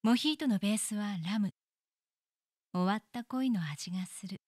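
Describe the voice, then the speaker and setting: A woman's voice: medium pitch, normal speed, a little mellow, no echo, clean studio sound, natural voice. An actress playing a wistful young woman recalling a lost love in an audio drama. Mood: wistful, reflective. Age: young adult. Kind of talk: audio drama dialogue and narration.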